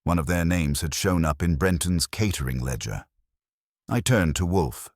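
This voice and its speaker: Male audiobook narrator for vintage whodunit: bottom-register voice, animated intonation, dry sound. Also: deep voice, normal speed, no echo. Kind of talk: scripted narration of a vintage detective story.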